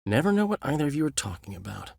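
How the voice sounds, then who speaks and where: A man's voice: medium pitch, sing-song, rapid-fire, creaky, a little mellow, breathy edge, dry recording, studio quality, exaggerated voice. An actor playing a flamboyant, talkative male bard in a video game, witty and theatrical. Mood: teasing. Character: flamboyant, chatty middle-aged male bard and poet. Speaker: game character.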